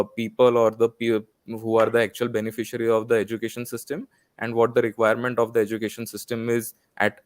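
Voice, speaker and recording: A man's voice: medium pitch, clear, resonant tone, no room sound, clean studio sound. A man with an Indian accent speaking as a panel guest, formal and wordy, in an earnest discussion on an online call. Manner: formal, wordy, long-winded phrasing.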